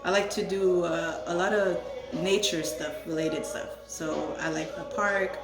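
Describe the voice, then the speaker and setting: A woman's voice: lowish voice, fairly even intonation, a little slow, roomy sound, over loud music, low-quality recording. A young adult woman from Haiti, raised in Florida, chatting casually and laughing at herself. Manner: talkative, self-deprecating, laughing. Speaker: co-host. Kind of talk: casual chat.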